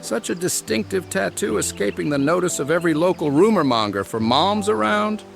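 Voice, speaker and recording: Gravelly, shrewd minstrel. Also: male voice, high voice, expressive, full character voice. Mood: thoughtful, wry. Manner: deliberate, storytelling, wry. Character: shrewd, well-travelled male minstrel, thoughtful and articulate. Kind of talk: scripted video game voice acting. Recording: studio voice under game music.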